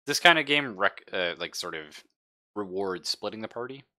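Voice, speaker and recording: A man narrating casually as game master of a tabletop game, in an informal, halting way with pauses. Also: lowish voice, lively intonation, sharp tone.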